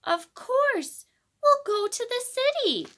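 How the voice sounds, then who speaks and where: A woman voicing an anxious, tearful mother kangaroo who pleads in a high, strained voice. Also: expressive, slow, gravelly, a bit bright, slight background noise, exaggerated voice. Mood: excited.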